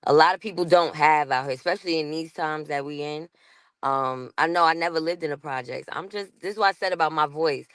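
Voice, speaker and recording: A woman's voice: low voice, fairly quick, smooth, no room sound. A woman with a New York accent talking casually as a guest on a panel show, laughing often and restarting her sentences. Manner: chatty, laughs often, self-interrupting.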